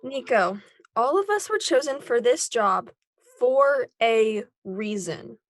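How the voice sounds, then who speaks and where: A woman playing a brisk, commanding superhero team leader in an amateur table read. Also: fairly high pitch, animated, dry recording, clean studio sound. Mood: exasperated, firm. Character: Rory, bossy no-nonsense female werewolf superhero team leader.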